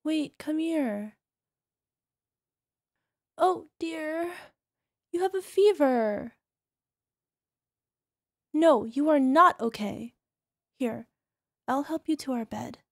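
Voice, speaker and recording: A woman voicing a possessive young yandere wife who nurses her sick husband, sweetly coaxing with a quiet edge of menace. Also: high voice, lively intonation, extremely slow, vocal fry, pauses often, no room sound, clean studio sound, heavily acted. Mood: doting. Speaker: voice actress. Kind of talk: ASMR roleplay audio drama.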